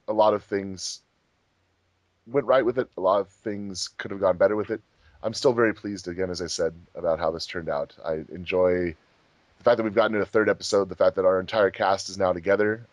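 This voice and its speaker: A man's voice: low-pitched, fairly even intonation, normal speed, no echo. A man hosting a fan dub commentary track in casual chat, with dry, teasing humour.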